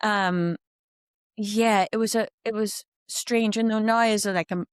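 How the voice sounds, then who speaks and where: A woman in her fifties speaks with a Belfast Irish accent, in a middle-pitched voice. She tells her story candidly and with animation, slipping into quoted asides. The recording is dry and close, with little room sound. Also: steady tone, unhurried, clear, resonant tone, dry recording. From Northern Ireland. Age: 50s. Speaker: guest. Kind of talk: reflective interview conversation.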